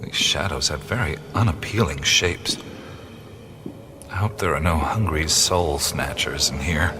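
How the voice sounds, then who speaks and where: An actor playing a curious, wry male explorer who talks to himself in hushed half-whispers, in a studio radio drama. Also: low voice, noisy background. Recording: studio radio play with music behind. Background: music.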